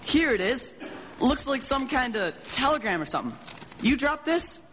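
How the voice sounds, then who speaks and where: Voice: high voice, very animated, normal speed, a bit bright. A performer voicing an eager, adventurous twelve-year-old boy in a radio drama, chatty and earnest. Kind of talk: live radio drama acting. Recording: reverberant stage hall, background noise.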